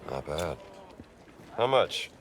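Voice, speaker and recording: Low, gruff, wry adventurer. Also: male voice, sing-song, slow, a little hiss or hum, exaggerated voice. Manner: dry, sardonic, laconic. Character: gruff, wry American adventurer archaeologist, middle-aged man. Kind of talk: cinematic video game acting.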